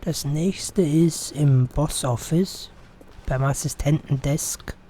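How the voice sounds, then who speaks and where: A hoarse man hosting a let's play, commentating casually with rambling, mumbled asides, sitting close to the mic. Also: a little high, takes it slowly, mellow, a little hiss or hum. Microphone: close to the microphone.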